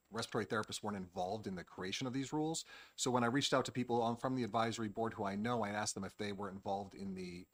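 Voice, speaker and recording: A man's voice: lowish voice, a bit bright. A man, a respiratory therapist, giving public testimony at a hearing in an earnest, questioning, slightly rambling way. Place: legislative committee hearing room.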